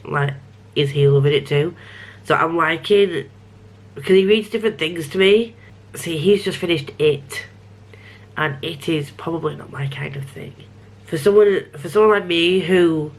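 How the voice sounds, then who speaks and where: Chatty, sniffly woman book vlogger. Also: sits low. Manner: chatty, self-interrupting, frequent restarts. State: a bit sniffly. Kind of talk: rambling casual vlog chat.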